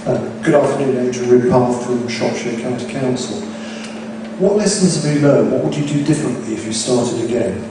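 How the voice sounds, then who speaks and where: A man's voice: medium pitch, normal speed, music in the background. A man from the audience asking brief, polite questions at a conference Q&A. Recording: distant mic in an echoey hall.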